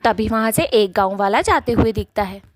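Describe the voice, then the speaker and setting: A woman's voice: medium pitch, expressive, normal speed, dry recording. Female storyteller for scripted cartoon narration, mid-range voice, dry and without room sound.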